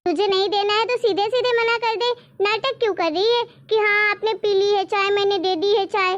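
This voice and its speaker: A woman plays a cranky, forgetful grandmother in a family skit. Her voice is extremely high and shrill, and she whines and accuses in every line. She makes demands in a piercing tone. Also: fairly even intonation, normal speed, no room sound, dull audio. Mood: complaining. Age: over 60. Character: forgetful, stubborn old grandmother, complaining.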